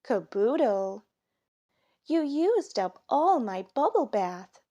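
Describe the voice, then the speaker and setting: A woman plays an affectionate storybook mom who is easily dismayed by mischief. Her voice is raised in pitch and swings expressively as she exclaims over her children. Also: sing-song, slow, warm tone. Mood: scolding.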